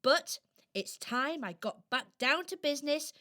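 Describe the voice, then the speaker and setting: Woman playing an eager, high-voiced young toy girl. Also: animated, measured pace, bright, forceful tone, heavily acted. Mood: cheerful, hopeful. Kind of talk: children's radio play acting.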